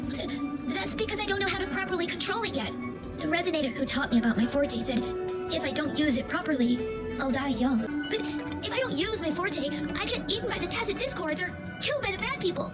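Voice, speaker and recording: Little orphan girl, high voice, earnest n determined, game cutscene w loud music. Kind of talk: video game voice acting. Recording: music behind the voice.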